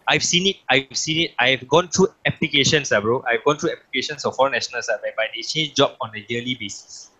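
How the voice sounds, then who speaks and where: A man's voice: mid-range voice, fast, hardly any pauses, pushed and bright, husky, low-quality recording. A Singaporean man talking in a casual podcast chat, impassioned and opinionated, often repeating himself.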